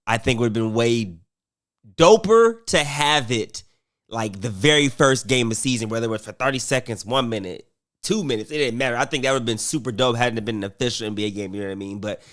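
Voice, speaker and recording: A man's voice: mid-range voice, animated, fairly quick, fairly bright tone. A man commentating casually on basketball, rambling with fillers and restarts while getting over a cold.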